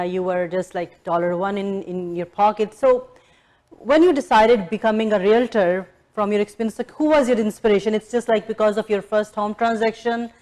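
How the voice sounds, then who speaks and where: A woman's voice: medium pitch, a little mellow. A woman hosting an interview, asking casual questions in loose, informal phrasing. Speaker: interview host.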